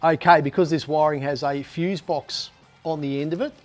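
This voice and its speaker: A man's voice: fairly high pitch, steady tone, moderate pace, soft background music. A man in his fifties with an Australian accent, presenting a casual how-to in a laid-back, matter-of-fact, colloquial way. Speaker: presenter of DIY car videos. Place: garage shed and inside a parked vehicle.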